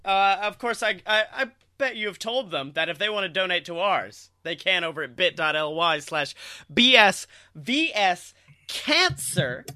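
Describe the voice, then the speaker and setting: A man's voice: high-pitched, big pitch swings, measured pace, sharp tone, no room sound, studio quality. A young man co-hosting a comedy podcast, joking around in loose, profane banter. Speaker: podcast co-host.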